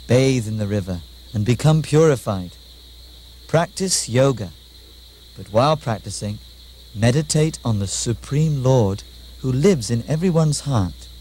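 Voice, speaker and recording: A man playing a wise, measured sage. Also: mid-range voice, mellow, a little hiss or hum, natural voice. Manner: measured, didactic, formal. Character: wise, calm sage, kindly instructing and testing. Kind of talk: puppet show dialogue, measured formal delivery.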